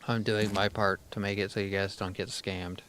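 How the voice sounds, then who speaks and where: A man's voice: lowish voice, little pitch movement, normal speed, a bit bright. A man streaming a game live, thinking aloud as he plays, half-muttering his plans, with a crackly voice from a dry throat. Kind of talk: casual live gameplay commentary.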